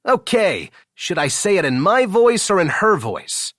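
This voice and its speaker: A cocky young guy, as acted by a male audiobook narrator, pitched high and swinging widely in tone. He needles and jokes brashly, like a best friend who loves to provoke. The sound is close and dry. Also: big pitch swings, measured pace, fairly bright tone, no echo, full character voice. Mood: excited, needling. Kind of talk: audiobook character dialogue.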